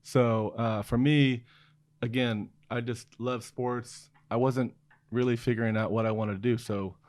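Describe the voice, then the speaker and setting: A man's voice: medium pitch, fairly even intonation, measured pace, a little mellow, dry recording. A middle-aged man raised in Oregon, a podcast guest telling stories in a small studio, easygoing and chuckling as he talks. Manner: easygoing storyteller, chuckles through answers. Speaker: guest, design executive. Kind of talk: casual interview chat. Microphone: studio mic on boom arm, headphones.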